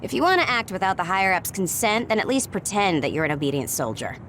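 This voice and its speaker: A woman's voice: medium pitch, very animated, quick pace, a bit bright, a little hiss or hum. A woman playing a shrewd young soldier in a video game, wry, blunt and matter-of-fact. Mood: calm, wry. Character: shrewd, dry young female tech-savvy soldier. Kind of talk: dramatic video game acting.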